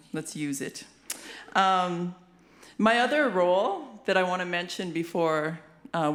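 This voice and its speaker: A woman's voice: on the low side, a bit bright. The Canadian woman emceeing a local arts awards night, chatting to the room in a playful, giggly, casual way. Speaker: master of ceremonies at an awards show; hip hop artist, rapper. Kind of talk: casual stage banter.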